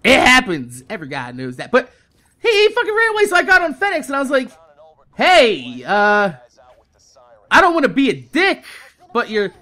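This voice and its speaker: A man in his thirties telling a casual, exasperated story with heavy swearing, in the animated manner of a gaming YouTuber. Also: extremely high pitch, normal speed, long pauses. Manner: animated, heavily profane, exasperated.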